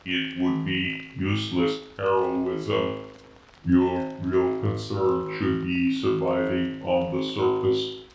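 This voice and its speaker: Calm machine soldier for a sci-fi tale; low-pitched, monotone, muffled under loud music. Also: male voice. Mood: solemn, measured. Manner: slow, measured, monotone pronouncements. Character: calm, solemn, all-knowing male robot soldier. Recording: dull, echoing, with loud music.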